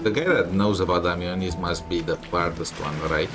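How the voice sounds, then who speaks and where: A man with a low voice chats casually through a game he is playing. His remarks are wry and offhand, and music plays clearly behind him. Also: fairly quick. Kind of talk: casual gameplay commentary.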